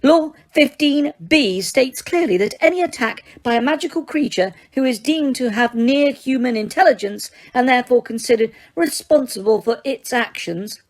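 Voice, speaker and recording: Woman reader playing a high-pitched, officious witch; haughty, easily frightened. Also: expressive, normal speed, hardly any pauses. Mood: prim. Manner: haughty, clipped officialese turning to shrieks. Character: prim, officious middle-aged witch, shrill and easily frightened.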